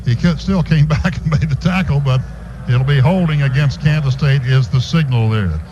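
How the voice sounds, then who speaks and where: Male radio play-by-play announcer, mid-pitched voice, live game calls and sponsor reads, dull noisy recording. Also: moderate pace, dark tone. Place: stadium radio booth.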